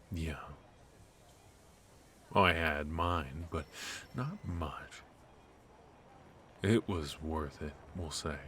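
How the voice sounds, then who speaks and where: A man's voice: a bass voice, a bit bright, a little hiss or hum, heavily acted. A man playing a cocky, ruthless and protective mafia boss in a noir ASMR roleplay, with an intimate, teasing delivery. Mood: teasing, dry. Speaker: voice actor.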